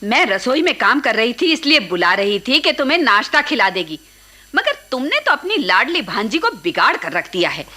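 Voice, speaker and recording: A woman playing a sharp-tongued, scolding middle-aged housewife and aunt in a radio play. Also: fairly high pitch, big pitch swings, moderate pace, full character voice. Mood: indignant, complaining. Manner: scolding, complaining, sarcastic. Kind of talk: Hindi radio play acting.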